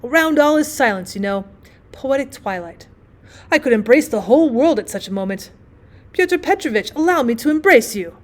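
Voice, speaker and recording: A woman voicing a giddy, boastfully happy newlywed gentleman in an audiobook, tipsy, effusive and rambling. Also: a little high, expressive, normal speed, lightly acted. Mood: blissful, gushing. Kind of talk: acted audiobook storytelling.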